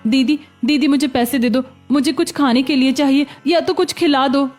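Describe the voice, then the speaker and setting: A woman's voice: high voice, fairly even intonation, normal speed, darkish tone, faint music behind, close to an everyday voice. A woman voicing a kind, proud young beggar girl in a cartoon story. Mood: pleading.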